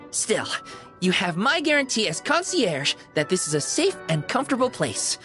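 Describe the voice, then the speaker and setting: A living stuffed toy concierge from a video game, speaks with courteous, bubbly flourish. The delivery is highly animated and theatrical, swinging widely in pitch. Music plays clearly underneath. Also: female voice. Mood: thoughtful, courteous. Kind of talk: scripted video game character acting.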